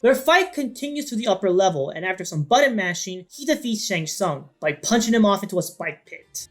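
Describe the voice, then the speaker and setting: A woman's voice: medium pitch, lively intonation, a little fast, soft background music. A woman co-hosting a film review, mixing scripted plot recap with banter.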